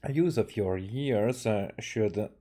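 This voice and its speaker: Man, dark mellow tone, lowish pitch, game streamer, halting read-aloud, frequent self-corrections. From Hungary. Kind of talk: reading game dialogue aloud with live commentary.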